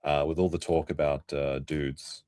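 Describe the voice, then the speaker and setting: A man's voice: extremely low pitch, little pitch movement, normal speed, mellow, clean tone, no echo, clean studio sound. A man hosting a late-night livestream, rambling in an offhand way and restarting his sentences. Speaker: streamer host.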